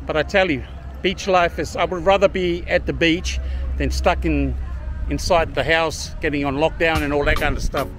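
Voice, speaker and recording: A man's voice: fairly high pitch, steady tone, moderate pace, hardly any pauses, fairly bright tone, soft background music. A man chatting casually and slangily to viewers and passers-by as a friendly vlogger outdoors. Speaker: vlogger and street interviewer. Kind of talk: casual vlog chat and street interviews. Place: outdoors at a busy beach promenade.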